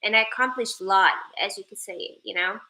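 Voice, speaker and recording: A woman's voice: mid-range voice, brisk, pushed and bright, low-quality recording. A young woman from Sweden chatting casually with viewers on a livestream, joking playfully and reading out their comments. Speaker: live streamer.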